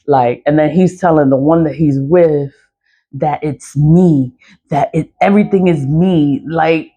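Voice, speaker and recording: A woman with a raspy voice, venting bluntly and profanely in an early-morning vlog, repeating herself as she goes. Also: low-pitched, warm tone, lo-fi sound. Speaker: vlogger. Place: dark room before dawn.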